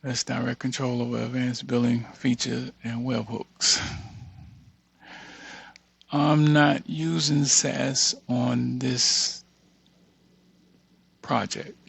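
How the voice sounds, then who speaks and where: An American man casually thinking aloud through a screen-share software tutorial, reading bits of text and muttering asides to himself. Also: mid-range voice, little pitch movement, takes it slowly, creaky, long pauses, breathy edge, no echo.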